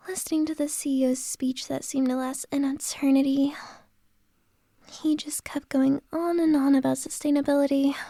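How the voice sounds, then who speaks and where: A playful, flirtatious boss lady teases softly in a breathy whisper, as a woman acts her out in ASMR. Her voice sits high but mellow, drawn out slowly. It sounds dry and close to the mic. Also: no echo. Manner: soft unvoiced whisper, teasing. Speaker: ASMR roleplay performer.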